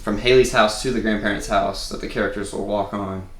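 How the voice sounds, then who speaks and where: Male presenter, informal and meandering, fairly low voice in a reverberant room. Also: fairly even intonation, a little fast. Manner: hesitant, rambling. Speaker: table-read presenter. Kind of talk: casual, informal explanation. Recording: roomy echo with background noise.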